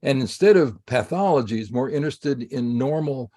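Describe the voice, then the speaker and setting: A man's voice: mid-range voice, lively intonation, moderate pace, mellow. A man in his seventies, a psychologist guest, answering interview questions in a rambling way with many fillers and restarts, heard over a video call. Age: 70s.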